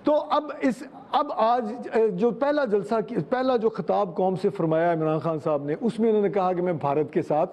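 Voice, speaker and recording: A man's voice: high voice, normal speed, hardly any pauses, a little mellow. A man, giving his political commentary in a panel discussion.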